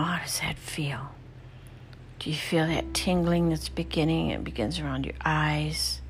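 Low-voiced woman whispering a slow meditation. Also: a little hiss or hum. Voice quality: soft, often whispered. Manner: slow, soothing, unhurried prompts. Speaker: meditation guide. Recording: music playing underneath.

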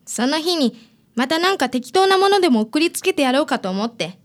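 High-voiced stubborn teenage girl, played by a woman. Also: sing-song, brisk. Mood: resolved. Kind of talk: radio drama acting.

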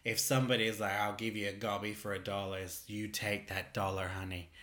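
A man with an Australian accent, co-hosting a casual chat, talking in an animated, camp way. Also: fairly low pitch, brisk. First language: English. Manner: animated, camp, laughing often. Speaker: podcast co-host. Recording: roomy echo.

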